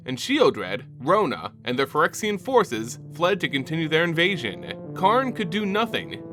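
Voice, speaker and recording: A man narrating a scripted fantasy story dramatically, with theatrical, deliberate phrasing. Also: fairly high pitch. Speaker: channel host and narrator.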